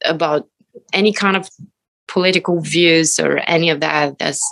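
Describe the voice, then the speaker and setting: A woman from Bulgaria, a guest on an interview show, talking casually and relaxed with frequent hesitations. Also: sits low, normal speed, gravelly. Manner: relaxed, chatty, hesitant fillers. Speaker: guest, techno DJ and pianist.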